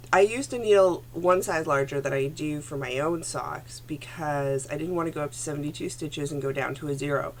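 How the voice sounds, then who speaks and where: Phlegmy, gravelly-voiced woman chatting. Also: deep voice, a little hiss or hum. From Canada. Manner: chatty, rambling, self-correcting. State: phlegmy throat. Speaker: knitting podcast host. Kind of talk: casual chat to camera. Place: home room. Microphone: computer camera at desk.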